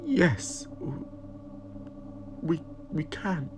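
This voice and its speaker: A man's voice: a little high, very animated, drawn-out words, background noise, voice pushed up, heavily acted. A man voicing a fussy, fond older woman who exclaims and chatters in an audio story. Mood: wistful.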